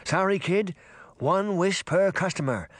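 Man voicing a grouchy, gurgling talking fish, high-pitched. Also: measured pace, no room sound. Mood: grumbling, blunt. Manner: gruff complaining, streetwise insults. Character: grouchy, sarcastic, wisecracking male talking fish.

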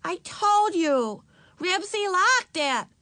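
Shrill, bratty little girl. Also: big pitch swings, measured pace, bright, forceful tone, no echo, exaggerated voice. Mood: defiant. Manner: screaming, whiny. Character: loud, bratty, defiant small girl, a little sister.

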